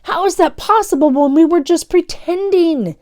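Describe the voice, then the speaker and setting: Woman doing a scared excitable little boy from a storybook, high and urgent. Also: moderate pace, no room sound, studio quality. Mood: fearful. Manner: exclamatory, urgent. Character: excited, frightened young boy, Daniel, in a story. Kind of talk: children's storybook read-aloud.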